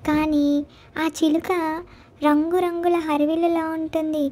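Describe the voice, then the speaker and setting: Woman as a high-pitched, discontented cartoon bird. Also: little pitch movement, a little slow, mellow. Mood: modest, wishful. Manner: complaining, self-deprecating. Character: discontented forest birds: swan, parrot, caged peacock. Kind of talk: children's animated moral story.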